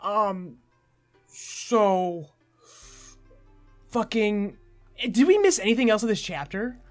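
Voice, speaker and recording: A male podcast host in his thirties with a notably high voice. He chats casually and emphatically, doubling back on phrases and swearing as he goes. Music sits behind him. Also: slow pace. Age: 30s. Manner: emphatic, repeats himself, swears freely. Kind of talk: casual excitable chat about manga.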